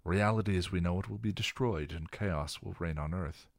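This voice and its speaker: A man voicing a stern, scholarly older man who explains things in a grave, measured, lecturing way. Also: sits low, creaky, no room sound.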